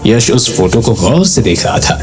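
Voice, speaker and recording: Animated male story narrator. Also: fairly low pitch, normal speed, over music. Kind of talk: dramatic scripted story narration.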